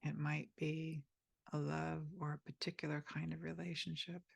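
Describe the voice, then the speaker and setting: A woman leading a guided meditation, speaking softly and gently inviting the listener, with pauses between phrases. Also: sits low, flat delivery, gravelly, husky, dry recording, clean studio sound. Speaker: meditation teacher.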